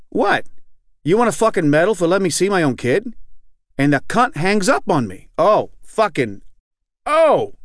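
A man voices a bitter, foul-mouthed man in recovery who keeps circling back on his own story. The voice sits fairly high and leaps around in pitch. It is recorded close and dry, with no room sound. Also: no echo. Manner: rambling, profane, keeps asking "you know what I'm saying". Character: angry, profane recovering man, middle-aged. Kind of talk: reading aloud a fictional monologue.

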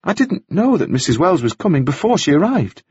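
Man doing a young woman defendant, raised husky voice, short plain answers, dull dry recording. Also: on the high side, sing-song, fairly quick, a little mellow, dry recording, pitched above the performer's own voice, heavily acted.

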